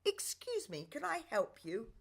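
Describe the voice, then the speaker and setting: A woman's voice: high-pitched, wildly swooping pitch, measured pace, vocal fry, husky, full character voice. A woman voicing a kind, wistful young servant girl in a children's radio play, polite and earnest. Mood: polite, curious.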